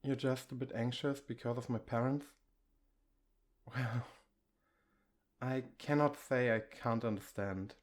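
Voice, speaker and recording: A man acts out an affectionate boyfriend comforting a nervous partner in an ASMR roleplay. He takes his time over each phrase at a middling male pitch. His delivery is gentle, coaxing and conversational. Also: fairly even intonation, a little slow, pauses often, lightly acted. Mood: reassuring, candid.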